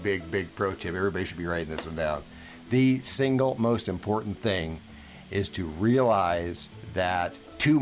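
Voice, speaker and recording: A man giving conversational financial advice in an emphatic, deliberate manner, repeating words for emphasis. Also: fairly low pitch. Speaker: financial coach and podcast guest speaker. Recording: music playing behind the voice.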